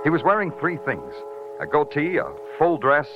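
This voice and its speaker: An actor playing a sardonic, hardboiled New York police detective with a literary turn, in 1940s radio style. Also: male voice, on the high side, wildly swooping pitch, normal speed, background noise. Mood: wry narration. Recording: dull vintage 1940s radio recording.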